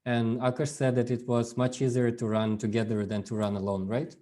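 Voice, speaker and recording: A man whose first language is Russian gives an informal workshop over a video call, speaking haltingly with many fillers and restarts. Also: medium pitch, flat delivery, mellow. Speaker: workshop presenter. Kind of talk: informal online workshop talk.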